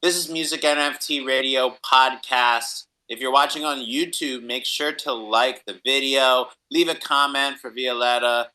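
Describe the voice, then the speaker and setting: A male podcast host with a very bright, forward voice. He chats enthusiastically and encouragingly, cheering on guest and audience like a hype man. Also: medium pitch, fairly even intonation, unhurried, few pauses. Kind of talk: enthusiastic podcast interview chat.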